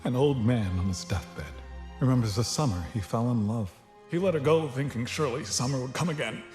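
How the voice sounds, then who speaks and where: An actor playing a lovestruck, sentimental young male water creature in an animated film, speaking with earnest emotion. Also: mid-range voice, a little fast, natural voice. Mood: wistful. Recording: roomy, reverberant film audio with music.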